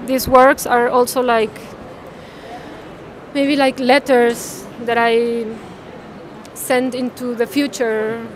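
A woman, talking haltingly and thoughtfully about her artwork, often tagging phrases with "no?". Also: fairly high pitch, flat delivery, a little hiss or hum. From Mexico. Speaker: artist. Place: large indoor art fair hall. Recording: crowd chatter in a busy hall.

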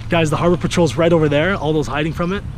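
A hyped young man with a slightly high voice narrates an exploration vlog, speaking into a handheld action camera on an open deck by the water. Wind and water noise sit clearly behind him. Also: fairly even intonation, fast talker. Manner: hyped, repeats "guys" and "literally". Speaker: vlogger host. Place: outdoors on a rusted wrecked ship by water.

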